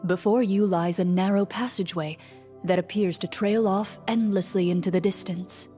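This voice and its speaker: A woman playing a composed game master who narrates a fantasy adventure calmly and softly in a video game. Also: mid-range voice, moderate pace, natural voice. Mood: hushed, descriptive. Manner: calm, literary. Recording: faint music behind.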